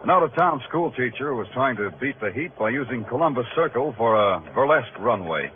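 Actor as a hard-boiled radio detective, animated delivery. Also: male voice, mid-range voice, a little fast, narrow, tinny audio, slight background noise, close to an everyday voice. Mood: weary narration. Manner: terse, clipped, streetwise delivery. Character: hard-boiled world-weary New York police detective, brooding and nosy. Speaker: lead actor and narrator. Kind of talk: 1950s radio crime drama acting, noir narration. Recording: band-limited old radio recording with music.

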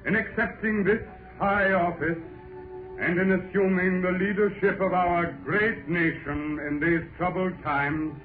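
An actor playing an orator, declaiming grand speeches in old radio-drama style. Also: male voice, sits high, steady tone, measured pace, echoey room. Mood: stately. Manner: declamatory, stately. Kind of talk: 1940s radio acting. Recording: tinny old radio recording with music.

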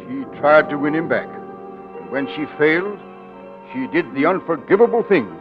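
An actor playing a grave, formal Southern gentleman in a 1940s radio drama. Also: fairly high pitch, expressive, normal speed, lightly acted. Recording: muffled 1940s radio recording with music.

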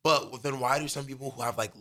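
A young man co-hosting a radio show in loose, casual chat. His voice is bright and forward, sitting in a middle pitch. He talks quickly and piles in "like" as he goes. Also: fairly even intonation. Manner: chatty, quick storytelling packed with "like".

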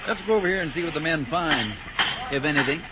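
Man playing a brisk fire captain, very noisy recording. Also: a little high, lightly acted. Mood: brisk, businesslike. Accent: American. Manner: clipped, businesslike. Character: busy, plain-spoken male fire captain. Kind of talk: 1950s radio drama acting. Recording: muffled, very noisy old radio recording.

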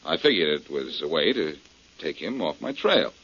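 A man's voice: extremely low pitch, sing-song, normal speed, a bit bright, breathy edge, heavily acted. A man playing a cool, clever amateur detective in an old radio mystery, wry and self-assured. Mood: wry, confident. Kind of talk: 1940s radio crime drama acting. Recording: muffled, noisy old-time radio recording.

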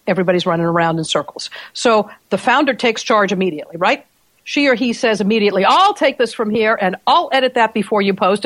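A woman's voice: medium pitch, no echo. A woman hosting a business podcast who explains her points conversationally and keeps checking in with tag questions. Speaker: podcast host.

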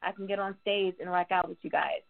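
A woman's voice: fairly low pitch, fairly even intonation, fairly quick, creaky. A woman hosting a casual interview, chatty and playful, laughing often as she asks her questions. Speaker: interview host. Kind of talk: casual interview over a call. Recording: phone-like narrow sound.

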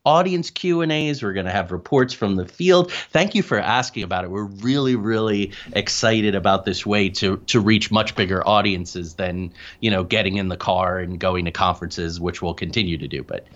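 Male interview guest, conversational, lowish voice, slightly dull lo-fi audio. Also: moderate pace, hardly any pauses, a bit bright. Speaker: paranormal researcher, podcast guest. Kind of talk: casual interview talk.

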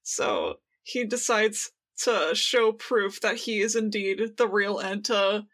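A woman's voice: medium pitch, lively intonation, slow, fairly bright tone. A woman co-hosting a podcast, retelling things in slangy casual chat and acting out quoted lines. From United States. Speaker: podcast co-host.

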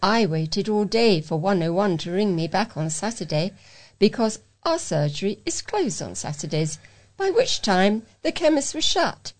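A woman's voice: medium pitch, a little mellow. A British woman reading newspaper letters aloud as a volunteer news reader.

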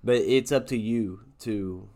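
A man co-hosting a podcast, chatting casually and haltingly, repeating himself and often checking in with an "okay". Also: on the low side, a little mellow. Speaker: podcast co-host. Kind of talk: casual chat.

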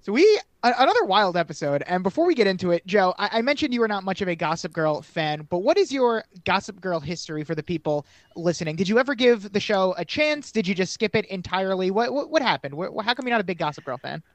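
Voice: mid-range voice, big pitch swings, fast, dry recording. A podcast host leading casual chat, upbeat and giggly, prompting guests with questions.

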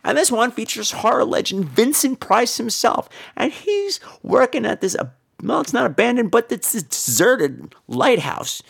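A man hosting a podcast in a campy, irreverent, rambling way, his voice hoarse from laryngitis. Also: extremely high pitch, sing-song, moderate pace, few pauses, a bit bright. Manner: campy, irreverent, crude joking. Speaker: podcast host. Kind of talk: rambling comic casual chat.

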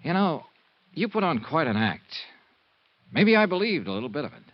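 A wry hard-boiled investigator. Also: male voice, a little high, very animated, normal speed, no echo. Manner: dry, wisecracking. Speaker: lead and narrator. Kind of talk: 1950s radio drama acting and narration. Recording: dull old radio broadcast.

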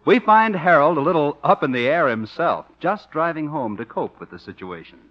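A muffled vintage radio recording of an American man announcing. His voice is on the high side and very animated in pitch. The delivery is formal and scripted, in 1950s broadcast manner. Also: normal speed, a little hiss or hum.

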